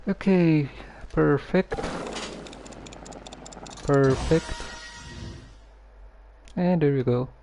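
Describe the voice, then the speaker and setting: A man giving casual live gameplay commentary, haltingly muttering to himself as he plays. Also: fairly high pitch, warm tone, slight background noise. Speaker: game streamer.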